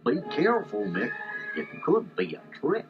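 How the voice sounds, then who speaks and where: A slow, dim but good-natured cartoon dog, with a hollow drawl that swoops wildly up and down. He is folksy and bumbling, on dull old storybook tape with music behind. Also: fairly high pitch, wildly swooping pitch. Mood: alarmed. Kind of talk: children's audio storybook acting.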